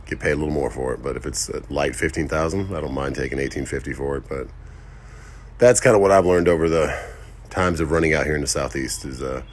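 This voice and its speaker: Deep-voiced, groggy trucker vlogging. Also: male voice, fast talker. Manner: rambling, relaxed talk with fillers. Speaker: owner-operator truck driver vlogger. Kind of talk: casual vlog voiceover. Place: home kitchen and car.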